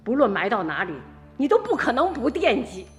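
A sharp-tongued scolding village mother. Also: a little high, sing-song, a little fast, soft background music. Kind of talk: radio drama acting.

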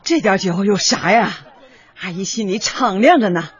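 Expressive long-suffering mother. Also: mid-range voice, normal speed, breathy edge, highs cut off. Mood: tipsy, heartfelt. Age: middle-aged. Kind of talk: radio drama acting.

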